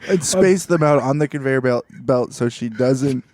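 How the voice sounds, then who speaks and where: A man's voice: medium pitch, moderate pace, a little mellow, no room sound. A man chatting casually as a podcast co-host, musing in a wry, comic way. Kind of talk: casual comedic chat.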